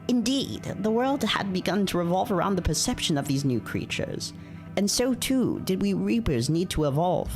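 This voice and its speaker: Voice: medium pitch, big pitch swings, moderate pace, darkish tone, soft background music, voice pushed up. A streamer voicing an ancient, philosophical Reaper from a visual novel, speaking formally in a solemn, reflective manner.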